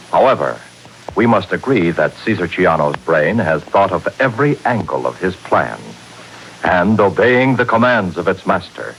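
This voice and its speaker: A man narrating a 1940s radio serial as announcer, in a theatrical, measured, scripted style. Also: sits low, fairly bright tone, rough recording. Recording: hissy 1940s radio broadcast.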